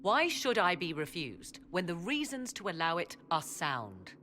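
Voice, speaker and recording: An actress playing a proud, mysterious sorceress in a fantasy game, speaking in haughty, formal, archaic phrases. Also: female voice, on the low side, wildly swooping pitch, a little slow, a bit bright, a little hiss or hum, full character voice. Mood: insistent, proud. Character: proud, mysterious sorceress, hungry for ancient knowledge. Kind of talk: dramatic fantasy game acting.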